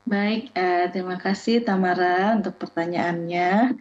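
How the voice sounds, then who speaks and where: Husky low woman over a humming line. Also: fairly even intonation, a little mellow, dull audio. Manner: measured, with hesitation fillers. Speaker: guest expert. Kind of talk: TV talk-show answer.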